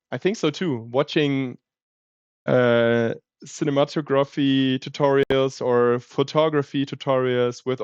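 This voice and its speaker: Slow, smooth-voiced man with a German accent. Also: medium pitch, darkish tone, dry recording, dull audio. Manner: rambling, thinks aloud with many fillers. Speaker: streamer. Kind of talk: casual livestream chat.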